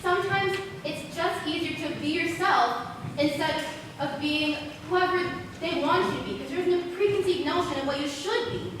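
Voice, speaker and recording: Young woman acting gentle grieving teenage girl, high voice, wistful, distant noisy stage microphone. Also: normal speed, heavy reverb. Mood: gentle, candid. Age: teenager or young adult. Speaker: student actor. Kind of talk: school stage play acting.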